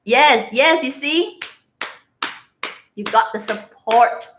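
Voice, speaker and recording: A woman's voice: high-pitched, lively intonation, slow, noisy background. A young woman from Malaysia with a Malaysian English accent and bits of Singlish, chatting casually on a livestream, laughing often and reading out viewer comments. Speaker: livestream host.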